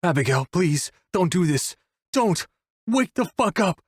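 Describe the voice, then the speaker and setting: Audiobook role: male narrator as frantic grieving lover, pleading and crying out, pitched high, dry recording. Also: sing-song, a little slow, husky, no echo, heavily acted. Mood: frantic grief. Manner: screaming, pleading, breaking up with grief. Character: desperate grieving man, pleading with his unconscious lover.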